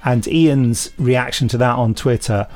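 A man's voice: mid-range voice, a little slow, darkish tone, soft background music. British man, middle-aged podcast host, wry. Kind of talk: casual podcast chat.

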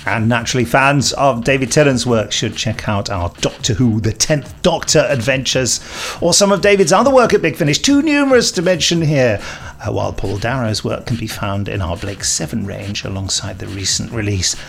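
A man's voice: medium pitch, animated, normal speed, creaky, faint music behind. A man reading podcast news with playful, self-mocking asides. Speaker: podcast host.

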